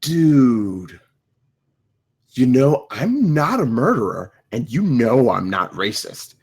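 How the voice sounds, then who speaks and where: A flippant young slacker guy played by a man in a cartoon comedy. His husky voice swings widely up and down, earnest and clueless. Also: mid-range voice, sing-song, slow, darkish tone, full character voice. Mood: defensive. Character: flippant, defensive 28-year-old slacker man. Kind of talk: animated comedy script table read.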